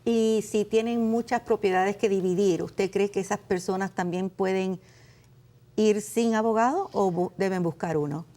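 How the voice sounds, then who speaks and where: A woman lawyer hosting a legal television program, speaking in a formal, measured way as she introduces and questions guests. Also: mid-range voice, mellow. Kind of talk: scripted TV hosting and interviewing.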